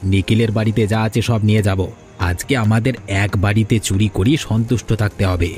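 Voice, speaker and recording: A man voicing a grumbling village man in a cartoon fairy tale, speaking in deliberate dialogue. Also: fairly low pitch, steady tone, darkish tone, faint music behind, natural voice. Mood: scheming, wary. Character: male village thief and weary elder brother, grumbling.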